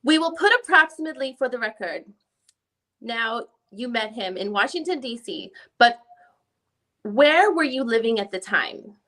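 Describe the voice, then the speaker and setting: A female lawyer read by a woman questions a witness in a fairly high voice. She is formal and methodical, working through a deposition one question at a time. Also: animated, moderate pace, bright, forceful tone. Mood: matter-of-fact. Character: probing female defense attorney questioning a witness. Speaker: reader in an online dramatic reading. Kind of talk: reading a deposition transcript aloud.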